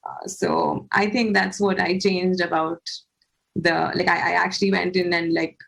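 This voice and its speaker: Young woman from India with vocal fry. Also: on the low side, little pitch movement, a little slow, rough recording. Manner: frank, uses "like" and "you know". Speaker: panelist. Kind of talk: casual panel talk.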